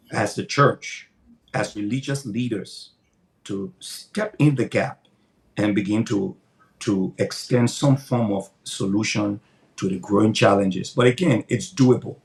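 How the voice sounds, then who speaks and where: Gravelly, low-voiced older pastor. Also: male voice, darkish tone. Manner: earnest, emphatic, repeats words as he builds points. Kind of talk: interview answers, preaching cadence.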